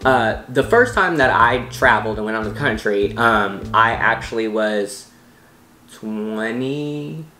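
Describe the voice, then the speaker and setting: A man's voice: fairly low pitch, lively intonation, measured pace, sharp tone. Rambling young American man, echoey room. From United States. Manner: rambling, many "like"s and restarts. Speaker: travel vlogger. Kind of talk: casual talk to camera. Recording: roomy echo with faint music.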